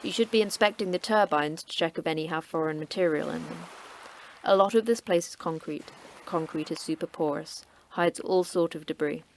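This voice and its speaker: An actress playing a terse, cryptic station manager who trains in martial arts, speaking in a curt, dry, sardonic way. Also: female voice, sits low, moderate pace, creaky, a little hiss or hum. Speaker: station manager of a secret facility.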